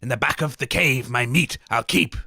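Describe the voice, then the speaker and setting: A man's voice: medium pitch, normal speed, vocal fry, sharp tone, breathy edge, dry recording, studio quality, voice pushed down, heavily acted. A man voicing a gruesome, man-eating cave monster in rhyming verse. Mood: gloating. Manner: rhyming villainous recitation. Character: hungry male guzzard monster, gruesome.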